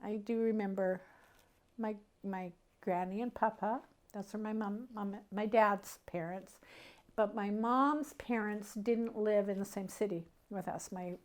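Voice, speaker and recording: Middle aged canadian-born lady, medium pitch, chatting about memories, kinda halting, thinking out loud as she goes. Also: fairly even intonation. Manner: halting, conversational, thinking aloud. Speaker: interviewee sharing family memories. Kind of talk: casual personal reminiscence.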